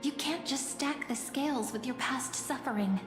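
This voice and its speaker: A woman voicing a gentle, teasing young childhood friend in a video game, speaking playfully and earnestly. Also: sits high, moderate pace, lightly acted. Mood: earnest, encouraging. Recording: long reverb with music behind.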